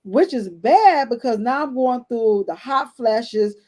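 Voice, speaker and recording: Woman in her fifties, candid storyteller, mellow tone, slightly high voice. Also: lively intonation, slow. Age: 50s. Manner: candid, storytelling, addresses listeners as ladies. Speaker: podcast co-host. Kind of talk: casual health chat.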